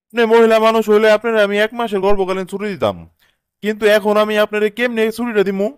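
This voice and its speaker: A man's voice: high voice, fast talker, clean tone, no room sound, studio quality, lightly acted. A man voicing a skeptical, grudging office boss in a cartoon, questioning incredulously in a colloquial Bangladeshi accent. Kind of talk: cartoon drama dialogue.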